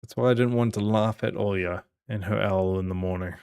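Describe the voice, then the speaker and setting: A man's voice: on the low side, fairly even intonation, fairly quick, gravelly, darkish tone, clear, resonant tone. A man reading a visual novel's narration and dialogue aloud as a gaming host.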